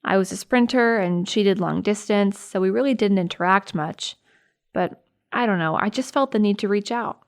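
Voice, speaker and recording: A young American woman playing a sympathetic, earnest high school girl recalling a friend in a naturalistic audio drama. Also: moderate pace, natural voice. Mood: somber, earnest.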